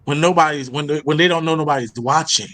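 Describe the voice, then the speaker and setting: A man's voice: on the high side, quick pace, bright, forceful tone. A man hosting a casual podcast, laid-back and slangy, often repeating words as he gets a sentence going. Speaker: podcast host.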